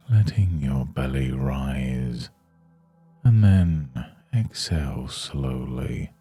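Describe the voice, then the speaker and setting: A man guiding a yoga nidra meditation in a soothing, hushed, unhurried manner. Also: rumbling low, slightly rough. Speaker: meditation guide.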